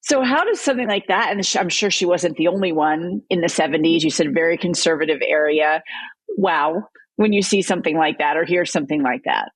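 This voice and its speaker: A woman's voice: on the low side, no room sound, poor audio. Dry, close-miked woman host. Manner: engaged, quick to affirm and jump in. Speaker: podcast host. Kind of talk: interview conversation.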